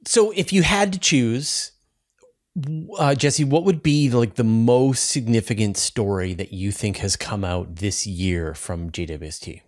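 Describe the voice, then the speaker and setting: A man's voice: on the low side, unhurried. A man hosting an interview in a casual, enthusiastic conversational way. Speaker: interview host.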